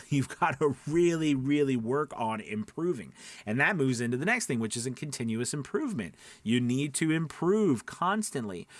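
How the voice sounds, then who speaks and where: A man's voice: mid-range voice, dry recording. A man giving an informal instructional talk as a coach, emphatic, repeating his points and often checking in with his listeners. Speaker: voice-over coach and platform owner.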